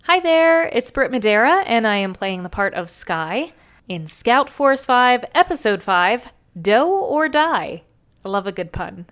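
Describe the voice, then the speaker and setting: A woman's voice: a little high, big pitch swings, measured pace, fairly bright tone. A woman introducing herself as a cast member in a cheerful, chatty way.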